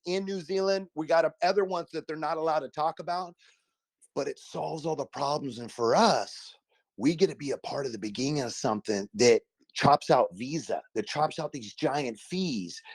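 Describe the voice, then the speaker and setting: A man's voice: a little high, normal speed, no room sound. A man, a guest investor giving a casual, emphatic and folksy endorsement over a video call.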